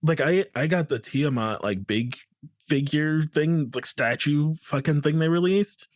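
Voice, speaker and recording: A man's voice: medium pitch, no echo, studio quality. A man co-hosting a podcast, chatting casually about a fantasy tabletop game, rambling with many fillers. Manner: rambling, lots of fillers, laughs often. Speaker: podcast co-host.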